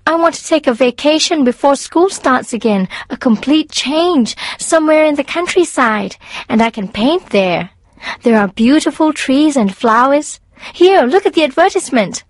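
Man voicing a high-pitched, whiny teenage girl. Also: lively intonation, unhurried, dry recording. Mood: pleading. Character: indignant, pleading teenage girl, easily riled sister. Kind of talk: audiobook character voice for language learners.

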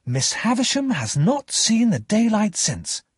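A frank, earnest young gentleman from a storybook, voiced by a man. His voice sits higher and is breathy, with lively, deliberate explanation. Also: no room sound, clean studio sound, heavily acted. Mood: earnest, grave.

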